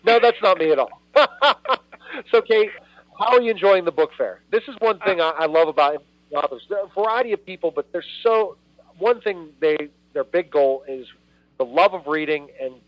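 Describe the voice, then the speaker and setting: Chatty, upbeat male radio host, fairly high voice, dry lo-fi sound. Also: animated, normal speed, no echo. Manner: enthusiastic, chatty, rambling. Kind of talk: live radio interview. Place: radio studio.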